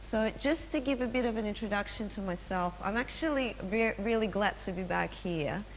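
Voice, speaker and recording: A woman's voice: medium pitch, normal speed. A woman with a Russian accent giving a business presentation at a conference, with frequent fillers and restarts, asking the audience questions. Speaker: conference speaker. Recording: long hall reverb, faint hum.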